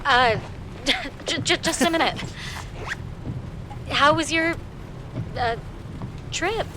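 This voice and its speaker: An actress playing an awkward, kind woman with a halting, flustered manner in an audio drama. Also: high-pitched, noisy background. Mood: awkward, warm. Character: Abigail, awkward, hesitant, kind young woman. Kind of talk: naturalistic audio drama acting.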